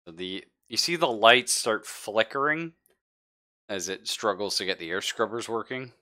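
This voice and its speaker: Deep, bright-voiced male game master. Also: lively intonation. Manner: halting, casual, pausing to think mid-sentence. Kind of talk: improvised narration of a tabletop roleplaying game.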